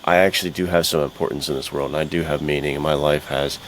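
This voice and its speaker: A man with an exceptionally deep bass voice speaks casually on a podcast. His manner is earnest and reflective. A faint noise sits behind him on the recording. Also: fairly even intonation, fast talker, rough recording. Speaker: podcast co-host.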